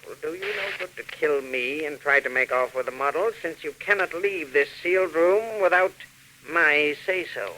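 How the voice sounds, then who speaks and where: An actor playing a shrewd, mercenary villain in a 1940s radio drama, speaking in a precise, theatrical, imperious way. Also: male voice, a little high, moderate pace, pushed and bright. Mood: cold, commanding. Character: shrewd, mercenary male villain selling a stolen robot. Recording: noisy old 1940s radio recording.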